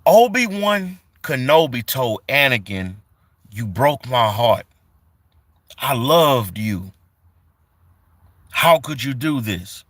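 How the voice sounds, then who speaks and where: Man in his forties, live streamer, bright forceful voice, dry close sound, rambling chat. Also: on the high side, no room sound. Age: 40s. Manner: reads chat comments aloud and greets viewers; loose, repetitive, with many fillers.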